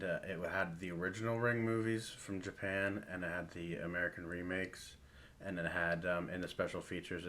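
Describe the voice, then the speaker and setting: Low deep voice guy cohosting a movie podcast, chatty and into it. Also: fairly bright tone, a little hiss or hum. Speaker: podcast co-host. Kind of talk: casual film discussion.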